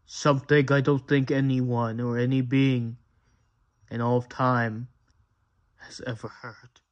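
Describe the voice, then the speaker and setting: A man playing a shaken, fearful robot scientist who records a horror audio log in a halting, haunted way. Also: medium pitch, level tone, highs cut off, lightly acted. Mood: dread. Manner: halting, haunted, with repeated phrases and pauses. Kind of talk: analog horror audio log, dramatic monologue.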